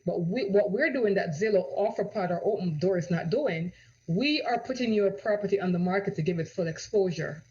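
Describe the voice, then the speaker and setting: A woman from Jamaica with a Jamaican accent, a real estate agent pitching her home-selling guarantee, full of rhetorical questions and a repeated "right?". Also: brisk, mellow, slight background noise. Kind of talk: direct-to-camera sales pitch. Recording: dull lo-fi audio.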